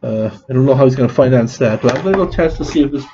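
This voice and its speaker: A man's voice: mid-range voice, expressive, very fast, lo-fi sound. A man with a scratchy voice, rambling through a casual vlog with many restarts and fillers. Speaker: vlogger.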